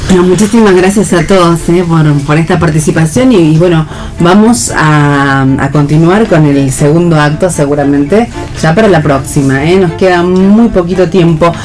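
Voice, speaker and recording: Woman, fairly low voice, radio host, unscripted delivery, faint music behind. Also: normal speed, darkish tone.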